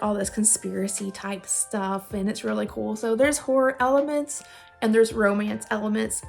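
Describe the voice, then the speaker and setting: A woman hosting a casual recommendation vlog, talking in an informal, rambling, self-deprecating way with a giggly manner. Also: fairly high pitch. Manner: giggly, laughs often while talking. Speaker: video host giving game recommendations. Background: music playing under the voice.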